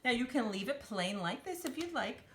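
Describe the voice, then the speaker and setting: A woman's voice: fairly high pitch, animated, normal speed, over loud music. A woman hosting a children's storytime, talking simply and encouragingly to young children as she demonstrates a craft. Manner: refers to herself in third person.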